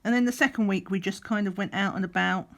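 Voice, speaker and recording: A middle-aged woman, slightly croaky, chatting in a rambling way while doing a craft, sometimes counting under her breath. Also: medium pitch, fast talker, fairly bright tone. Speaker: crafting vlogger. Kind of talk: relaxed chatty craft vlog. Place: at a craft table indoors.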